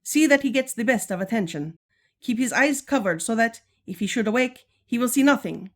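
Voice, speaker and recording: A woman's voice: a little high, lively intonation, brisk. A woman playing a regal, stern but caring Amazon queen and mother in a 1940s-style radio serial, formal and commanding. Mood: commanding, measured.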